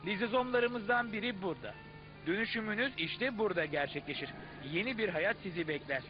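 Obliging cartoon guide showing off, high, dull audio over music. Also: male voice, lively intonation, brisk. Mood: proud. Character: proud, obliging tour guide inside a cell. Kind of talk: dubbed educational cartoon acting. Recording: dull lo-fi soundtrack, music behind.

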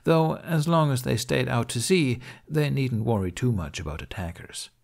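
A man's voice: medium pitch, animated, normal speed, creaky, a little mellow. A man reading scripted fiction as an audiobook narrator.

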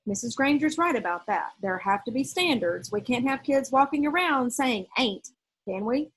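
A woman's voice: fairly high pitch, expressive, normal speed, slight background noise, close to an everyday voice, poor audio. A woman voicing a serious, rule-minded father in a storybook, firm and admonishing. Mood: stern.

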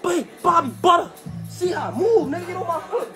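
A young man doing a squeaky helium voice as an aggressive, boastful drill rapper. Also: a little fast, music in the background. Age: young adult. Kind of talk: drill rapping.

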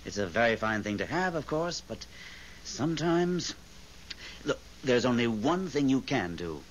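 A man's voice: medium pitch, sing-song, unhurried. A man playing a bossy, sardonic confidant with an impatient, theatrical manner in a 1970s radio drama. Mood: exasperated, sardonic. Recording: muffled, hissy old radio recording.

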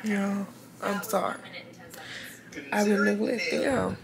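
A woman's voice: mid-range voice, flat delivery, slow, gravelly, a crowd in the background. A young woman in her twenties talking casually and playfully to her viewers with slang, filming herself at home. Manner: laid-back, slangy, playful. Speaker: vlogger. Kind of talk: casual vlog chat. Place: small home bathroom. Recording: background noise.

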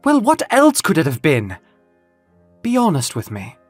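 Narrator performing a frightened young man, husky and pleading. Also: fairly high pitch, huge pitch swings, unhurried, dry recording, faint music behind, pitched above the performer's own voice, exaggerated voice. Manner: anxious, pleading. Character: frightened young man Robert, shaken and exhausted. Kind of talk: audio comic book acting.

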